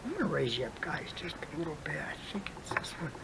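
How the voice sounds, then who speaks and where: An adult craft vlogger chatting casually while working, with a hoarse voice that keeps cutting in and out, rambling softly and drifting into whispers. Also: medium pitch, very animated, quick pace, heavy background noise.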